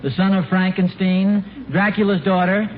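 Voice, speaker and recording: A man's voice: high voice, flat delivery, moderate pace, lightly acted. An actor playing an easygoing, flustered suburban American father in a 1940s radio sitcom, with a hesitant, folksy delivery. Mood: reluctant. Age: middle-aged. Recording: tinny, noisy 1940s radio recording.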